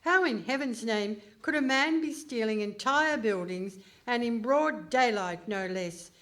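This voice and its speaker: Woman doing a pompous iron lady politician, highish voice, really slow and grand, radio comedy. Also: fairly bright tone. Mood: haughty, dismissive. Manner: stately, pompous, deliberate. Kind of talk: comic radio play parliamentary speech. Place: hall stage before a live audience.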